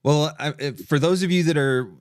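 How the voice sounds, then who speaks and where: A middle-aged man hosting a casual online interview and pitch, talking in an emphatic, enthusiastic, conversational way. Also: moderate pace, dry recording, studio quality. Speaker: webinar host.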